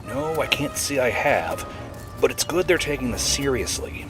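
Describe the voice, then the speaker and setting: A man playing an older, steady and cautious veteran wildlife agent in an audio drama. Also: medium pitch, moderate pace, lightly acted. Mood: serious. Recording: music under the voice. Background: background music.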